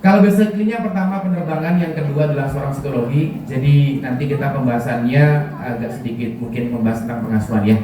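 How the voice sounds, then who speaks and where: Javanese man, parenting speaker; mellow tone, recorded from far off in a very echoey school hall. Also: medium pitch, little pitch movement, fast talker. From Kulon Progo, Yogyakarta, Indonesia. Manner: joking, quizzes the audience with questions. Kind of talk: religious parenting talk to parents.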